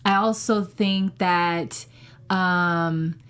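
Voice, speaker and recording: A woman's voice: on the low side, fairly even intonation, extremely slow, fairly bright tone, clear, resonant tone. A woman chatting casually as a TV recap panelist, rambling and circling back over her phrases. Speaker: talk show panelist.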